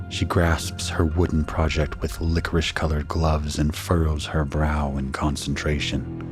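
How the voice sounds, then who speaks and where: An actor playing a shaken husband and father who narrates his ordeal in a grave, measured, first-person style for an audio drama. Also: extremely low pitch. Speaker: narrator and main character.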